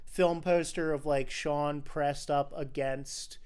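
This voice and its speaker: Man, podcast host, fairly high voice, smooth clear tone, informal, explanatory film talk. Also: steady tone, takes it slowly, darkish tone. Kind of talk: casual film discussion podcast.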